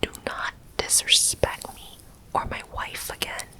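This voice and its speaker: A woman's voice: measured pace, pushed and bright. A woman whispering the firm lines of a proud, protective husband in her own ASMR voice. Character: proud, protective married man.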